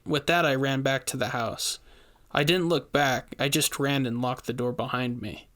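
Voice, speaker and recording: A man's voice: medium pitch, fairly even intonation, moderate pace, a bit bright. A man reading a scripted first-person horror story as its narrator.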